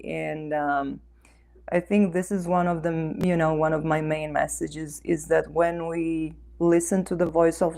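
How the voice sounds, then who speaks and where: A woman's voice: low voice, creaky, a little mellow. A woman from Romania, speaking effusively and earnestly as a guest in a conversational interview. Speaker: interview guest, author and life coach.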